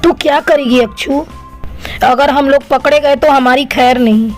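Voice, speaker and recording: A woman's voice: sits high, steady tone, normal speed, soft background music, lightly acted. A woman playing a timid, loyal young schoolgirl friend in a cartoon story. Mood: anxious. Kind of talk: Hindi cartoon story dialogue.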